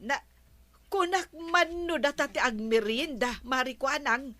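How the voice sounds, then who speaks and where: A woman playing a gossipy, excitable village woman in an Ilocano radio comedy, exclaiming and teasing in an Ilocano accent. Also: high voice, measured pace, no room sound. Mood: nosy, impatient.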